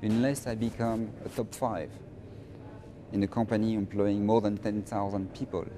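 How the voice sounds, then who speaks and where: A middle-aged man from France with a French accent, answering interview questions in an emphatic, halting way and often repeating phrases. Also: fairly low pitch, little pitch movement, crowd noise. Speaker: interviewee, finance professional.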